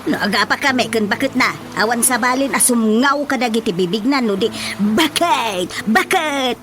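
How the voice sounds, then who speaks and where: A chatty village neighbor with a high voice, teasing and complaining. She plays it in radio comedy drama style over a prominent music bed. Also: expressive, moderate pace, a bit bright.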